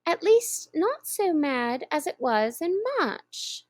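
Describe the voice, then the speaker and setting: A woman doing a prim, high-voiced storybook girl. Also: very animated, takes it slowly, no room sound, full character voice. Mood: earnest. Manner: prim, earnest questioning. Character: polite, curious young girl. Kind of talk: storybook reading.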